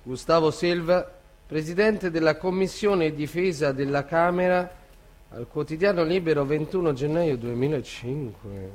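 A man's voice: fairly high pitch, a little slow. A man reading quotations aloud in a theatrical style, as a stage reader. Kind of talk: dramatic public reading of quotations.

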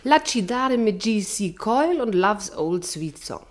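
An actress playing a lazy, scornful, sensual wife in a German radio play, languid and demanding. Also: female voice, mid-range voice, big pitch swings, a little slow. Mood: mocking.

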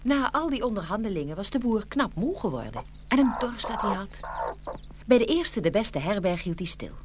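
A woman narrating a children's fairy tale from a script in an expressive storytelling manner. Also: mid-range voice, normal speed, background noise.

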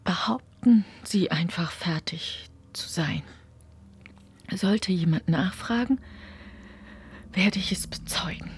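Hushed, pleading farmer's wife. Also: female voice, on the low side, slow pace, long pauses, whispered. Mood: urgent, pleading. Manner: hushed, breathy, urgent. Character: anxious, pleading farmer's wife, guarded and weary. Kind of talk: radio drama acting.

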